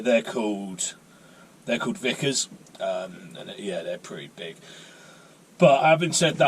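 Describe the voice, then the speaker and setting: A man's voice: fairly low pitch, fairly bright tone, slight background noise, poor audio. Chatty man vlogging. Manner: chatty, rambling, self-mocking. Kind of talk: casual vlog chat. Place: outdoors and in a car on a rainy day.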